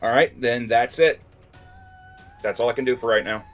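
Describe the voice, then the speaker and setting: A man talks casually as a player in a tabletop fantasy game. He describes moves in a halting, deliberate manner at a middling pitch, with music underneath. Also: fairly bright tone.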